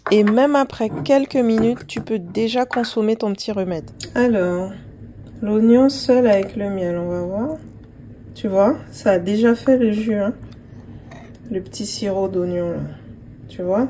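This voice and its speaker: A woman vlogger with a dark, mellow voice talks the viewer through a homemade remedy. Her tone is easy and chatty, like a friend showing you how she does it. A faint hiss sits under her voice. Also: medium pitch, a little slow. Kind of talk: casual how-to narration to camera.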